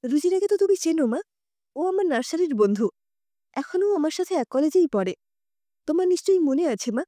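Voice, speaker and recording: Woman narrator as troubled young college woman, audio drama; high, mellow, lively intonation, defensive and earnest. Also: no room sound. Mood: confiding.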